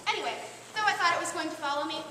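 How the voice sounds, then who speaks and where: Young actress as newly created woman, curious and romantic, declaiming a stage monologue, heard from far off through clear noise. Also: very high-pitched, rapid-fire. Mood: proudly observant. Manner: earnest, musing, declaiming to an audience. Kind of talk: stage monologue, theatrical recitation. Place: large indoor hall, live stage play. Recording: distant, echoey hall with clear noise.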